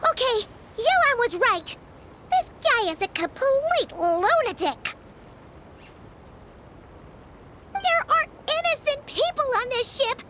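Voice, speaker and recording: A woman voicing a small, excitable, fretful fairy-like girl companion in a video game, with a squeaky childlike voice. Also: unhurried, a little hiss or hum, full character voice. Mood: urgent. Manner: refers to self in third person, exclaiming. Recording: loud music behind the voice.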